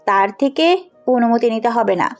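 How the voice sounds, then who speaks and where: Woman doing a stubborn kindhearted young woman, blunt, lively and high pitched, audio story. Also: measured pace. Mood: insistent. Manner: blunt, insistent. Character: headstrong, compassionate young woman, outspoken businesswoman daughter. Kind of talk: Bengali audio story acting. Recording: music under the voice.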